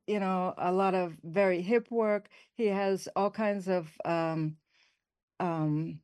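Quiet-voiced middle-aged woman, casual interview guest, halting and laughing, dry close recording. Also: mid-range voice, no echo. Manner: soft-spoken, laughs often, halting with many fillers. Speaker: poet and author, interview guest.

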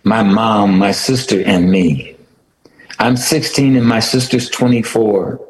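A man's voice: on the low side, fairly even intonation, slow. Man reading as a grieving sixteen-year-old. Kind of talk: reading a story aloud. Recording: roomy echo, faint noise.

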